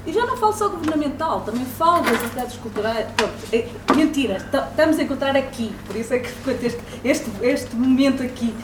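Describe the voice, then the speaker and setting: Portuguese woman lecturer, high voice, halting and thoughtful, echoey hall. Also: lively intonation. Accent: European Portuguese. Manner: hesitant, thinking aloud. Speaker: university lecturer. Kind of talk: public debate. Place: hall at a public debate. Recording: echoey room from far off, noisy.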